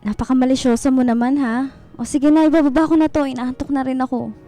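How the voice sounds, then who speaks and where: Meek, devoted wife with growing suspicion, high voice, radio drama over music. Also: female voice, steady tone, fast, dark tone. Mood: hurt, gentle. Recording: music behind the dialogue.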